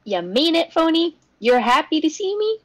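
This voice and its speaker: A woman's voice: high-pitched, very animated, moderate pace, no echo, clean studio sound, pitched above the performer's own voice. A woman voicing a cheerful, easygoing, goofy male cartoon buddy with a folksy, chummy manner.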